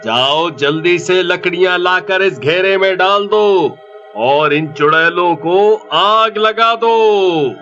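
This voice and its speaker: Guy doing stern village priest, bossy forceful voice, slow commands, horror cartoon, bit dull audio. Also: sits high, soft background music, heavily acted. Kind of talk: animated horror folk tale acting.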